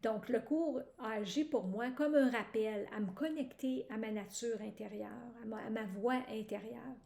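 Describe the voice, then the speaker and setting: Québécoise woman, testimonial, hesitant and filler-heavy, raised pitch, echoey room. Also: creaky, warm tone. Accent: Québécois French. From Quebec, Canada. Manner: halting, many "euh" fillers and restarts. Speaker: program participant giving a testimonial.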